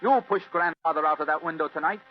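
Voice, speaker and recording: A man plays an earnest, fiery young man in an old radio mystery. The words come fast in a fairly high voice, on a dull recording with hum. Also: close to an everyday voice. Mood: defiant, accusing. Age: young adult. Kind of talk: 1940s radio drama acting.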